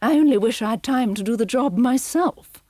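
Woman doing a storybook villainess, shrieking, imperious, gleefully cruel, husky, swooping pitch. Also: animated, moderate pace, warm tone, no echo, clean studio sound. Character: shrill cruel rich woman villain, fur-obsessed.

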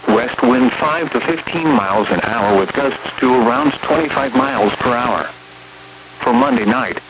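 A synthetic American male voice reading a scripted weather bulletin in a formal, impersonal way as an automated radio announcer. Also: medium pitch. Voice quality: synthetic text-to-speech voice. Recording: noisy weather radio broadcast reception.

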